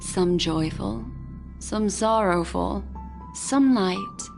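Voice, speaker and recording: A slow, enigmatic seductress. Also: female voice, mid-range voice, vocal fry, faint music behind. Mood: philosophical. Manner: slow, soft, seductive and philosophical. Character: mysterious alluring woman, memory manipulator, enigmatic. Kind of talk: game voice acting.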